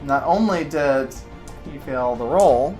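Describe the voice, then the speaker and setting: A woman's voice: sits low, lively intonation, takes it slowly, dark tone, faint music behind. A woman narrating a tabletop game as game master, loose and giggly with lots of fillers.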